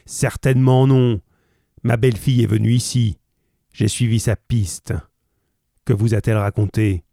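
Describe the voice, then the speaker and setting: A man voicing a furious, menacing old man in a read-aloud story, loud and threatening. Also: lowish voice, extremely slow, no room sound, studio quality.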